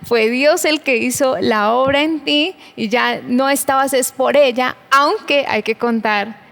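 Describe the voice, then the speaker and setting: A woman's voice: sits high, measured pace, vocal fry, fairly bright tone. A woman from Colombia with a Colombian Spanish accent, hosting a church conversation program, affirming her guest and often restarting her words. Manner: affirming, stumbles and repeats words. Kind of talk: faith conversation interview.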